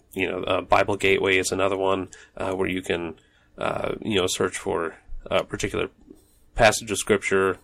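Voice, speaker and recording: Male podcast host, very low voice with gravel; rambling and chatty, full of fillers. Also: a bit bright. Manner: rambling, many fillers and restarts. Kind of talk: casual solo podcast monologue. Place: home office.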